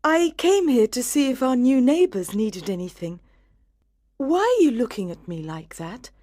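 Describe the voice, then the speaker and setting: A woman playing a secretive young wife in a storybook, speaking slowly and expressively with careful enunciation. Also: fairly high pitch, sing-song, dark tone, no echo. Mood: nervous. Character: playful, secretive young wife, nervous under questioning. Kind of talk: slow scripted storybook dialogue.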